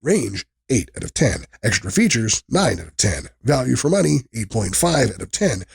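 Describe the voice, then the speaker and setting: Husky man narrating a product review. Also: medium pitch, very animated, moderate pace.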